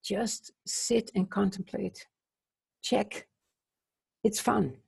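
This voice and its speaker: Slow, husky woman musing contemplatively. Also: mid-range voice, fairly even intonation, darkish tone. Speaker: book club co-host. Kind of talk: contemplative spiritual discussion.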